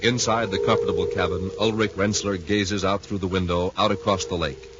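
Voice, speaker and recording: A man's voice: deep voice, fairly even intonation, a little fast. An American man announcing and narrating in an emphatic, punchy 1950s radio style. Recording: muffled, faintly noisy 1950s radio recording.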